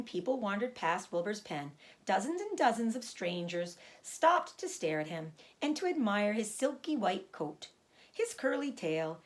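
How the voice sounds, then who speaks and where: A woman teacher reading a children's book aloud, gently stopping to explain words for young listeners. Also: mid-range voice.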